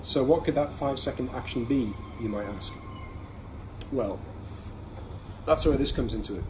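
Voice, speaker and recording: A man hosting a casual product review, explaining things conversationally in short, measured phrases. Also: medium pitch. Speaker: podcast host reviewing everyday carry products. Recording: clearly audible ambient background noise.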